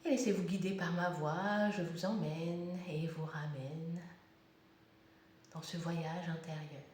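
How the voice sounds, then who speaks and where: A woman guiding a yoga meditation in calm, deliberate short phrases with pauses between instructions. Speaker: yoga nidra guide. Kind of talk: guided meditation, slow soothing instruction. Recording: very echoey room, faint rumbling noise.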